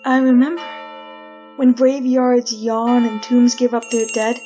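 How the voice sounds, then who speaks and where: An actress playing a desperate, haunted woman prisoner condemned though innocent, telling her tale in theatrical, deliberate retro radio drama style. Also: a little high, level tone, dark tone, faint music behind, close to an everyday voice.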